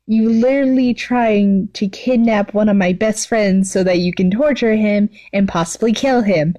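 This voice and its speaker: A woman's voice: mid-range voice, normal speed, warm tone, lo-fi sound, lightly acted. A woman voicing a firm, protective teenage hero girl in an amateur story reading, matter-of-fact and scolding. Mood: indignant.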